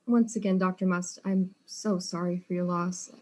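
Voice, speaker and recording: A woman's voice: on the low side, steady tone, normal speed, mellow, lightly acted. A woman playing a polite, probing detective who questions suspects in a mystery drama. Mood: sympathetic. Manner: courteous, inquisitive. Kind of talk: acted mystery audio drama.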